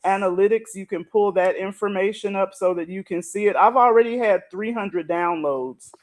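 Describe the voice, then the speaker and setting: A man's voice: sits high, moderate pace. A man explaining things casually as a podcasting guest.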